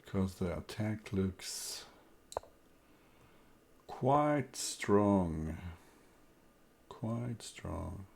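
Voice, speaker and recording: A man's voice: low voice, animated, extremely slow, creaky, long pauses, darkish tone, a little hiss or hum. A man with a Swedish accent, a native Swedish speaker, streaming live chess and thinking aloud over his moves with wry asides.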